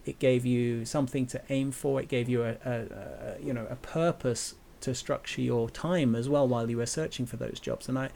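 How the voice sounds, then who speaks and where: A man's voice: medium pitch, fairly even intonation, moderate pace, gravelly, few pauses, mellow, a little hiss or hum. A man hosting a podcast, chatting casually and often repeating words as he restarts his sentences. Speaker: podcast host. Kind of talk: casual conversation.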